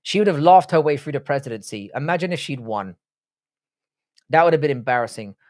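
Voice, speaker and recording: A relaxed man hosts a casual livestream and chats with his viewers. His delivery is loose and unhurried, with plenty of offhand swearing and banter. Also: mid-range voice. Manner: laid-back, swears freely, banters with chat. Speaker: livestream host reading chat.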